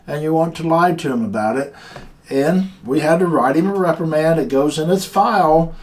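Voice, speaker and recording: A man who runs a security company, telling a story from work and giving guards earnest, plain-spoken warnings. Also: on the high side. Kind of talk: casual instructional talk.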